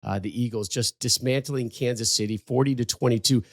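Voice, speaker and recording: A man's voice: medium pitch, fairly even intonation, a little fast, no room sound, clean studio sound. A man hosting a casual sports podcast, upbeat as he reads sponsor lines and plugs the show. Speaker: podcast host.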